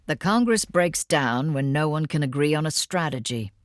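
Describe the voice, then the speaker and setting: A woman's voice: low-pitched, expressive, moderate pace, no echo. An actress playing a wry, sceptical woman who comments in an audio drama with dry understatement. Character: wry, deep-voiced female commentator, drily sceptical. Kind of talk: scripted audio drama narration.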